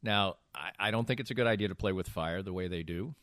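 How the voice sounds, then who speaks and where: A man's voice: low voice, fast talker, a bit bright, no echo, studio quality. An American man hosting casual sports banter, emphatic and opinionated in the way he talks. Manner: emphatic, opinionated, mockingly acts out imagined quotes. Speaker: sports talk show host.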